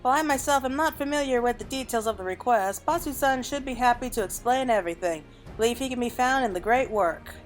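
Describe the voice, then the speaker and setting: A woman voicing a brisk, courteous quest giver from a fantasy game, formal and wordy with a teasing touch. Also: on the high side, voice pushed up, natural voice. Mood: brisk, helpful. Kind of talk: acted reading of fantasy game dialogue. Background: game music.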